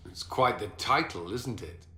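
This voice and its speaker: An actor playing an earnest, disciplined knight commander in a fantasy video game, with a firm, plain-spoken delivery. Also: male voice, on the low side, lively intonation, a little slow, sharp tone. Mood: sober. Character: earnest, disciplined male military commander, former templar knight. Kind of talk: video game cutscene acting. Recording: roomy echo, noisy ambience.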